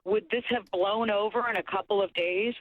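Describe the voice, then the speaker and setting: A woman panel guest giving blunt opinion commentary, posing questions and answering them flatly. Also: medium pitch, normal speed, no room sound. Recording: tinny phone-like remote line.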